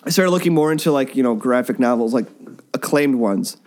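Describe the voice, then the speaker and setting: A man's voice: mid-range voice, animated, fairly quick, a little mellow. A man hosting a casual, joking chat about comic books, often breaking off and restarting his sentences, recorded in a basement. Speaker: podcast host.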